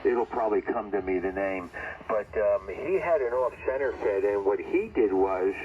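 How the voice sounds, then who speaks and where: Low-voiced man on a noisy ham radio. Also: normal speed, phone-quality sound. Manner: rambling, conversational, repeats himself. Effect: shortwave radio. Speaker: amateur radio operator. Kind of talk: casual ham radio chat.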